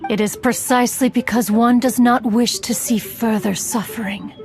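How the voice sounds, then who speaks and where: A woman's voice: fairly high pitch, fairly even intonation, darkish tone, soft background music, natural voice. A woman playing a proud, aloof, ancient immortal hermit in a video game, speaking in formal, archaic phrases. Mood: stern, cold. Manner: haughty, formal, archaic, measured. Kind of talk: theatrical video game voice acting.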